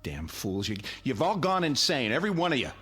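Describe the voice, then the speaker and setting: Indignant American neighbor, slightly rough voice, reproachful, sardonic, radio drama, faint music. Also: male voice, medium pitch, very animated, a little fast, pushed and bright, exaggerated voice. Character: indignant, reasonable neighbor pleading against the mob.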